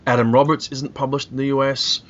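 A man's voice: medium pitch, little pitch movement, a little fast. A man hosting a casual interview, putting conversational questions to his guests. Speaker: podcast host and interviewer. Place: internet call. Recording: muffled internet call, faint noise.

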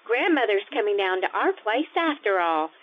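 A woman's voice: medium pitch, sing-song, normal speed, muffled recording, lightly acted. A woman playing a sweet, earnest teenage schoolgirl in an old-time radio comedy, polite and naive. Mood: cheerful, eager. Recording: thin, band-limited radio-style recording.

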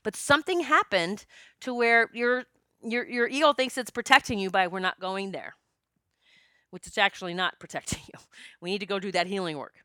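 A woman's voice: medium pitch, moderate pace, pushed and bright, no room sound, clean studio sound. A woman in her fifties, a past life regression practitioner, telling stories in a chatty, joking way. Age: 50s. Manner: chatty, joking, storytelling with quoted dialogue. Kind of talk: casual spiritual monologue.

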